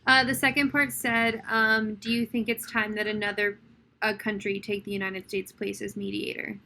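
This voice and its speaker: A woman's voice: medium pitch, level tone, normal speed, pushed and bright. A woman moderating a Q&A, politely reading out questions.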